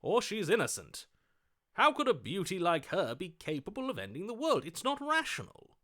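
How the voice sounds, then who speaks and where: A man's voice: high voice, huge pitch swings, normal speed, a bit bright, full character voice. A man voicing a lovestruck, melodramatic romantic hero in a video game, speaking in a theatrical, florid, overwrought manner. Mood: adoring, righteous. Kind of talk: video game character voice acting.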